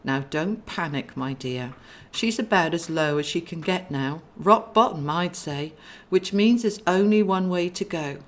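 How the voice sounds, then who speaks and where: A woman voicing a calm, gentle older brother angel who reassures in an audiobook. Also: fairly low pitch, measured pace. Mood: reassuring. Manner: soothing, gentle, kindly formal.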